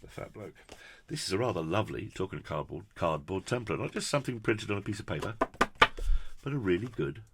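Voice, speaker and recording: A man's voice: deep voice, expressive, quick pace, heavy background noise. A British man presenting a casual hands-on product review in a chatty, jokey, effusive way with quaint turns of phrase. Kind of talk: casual hands-on unboxing commentary.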